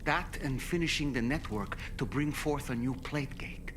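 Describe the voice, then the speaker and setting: A man playing an earnest, grave advisor in a video game cutscene, with measured, reflective and formal phrasing. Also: medium pitch, background noise, natural voice. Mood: sombre, encouraging. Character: earnest, grave male advisor counselling a comrade.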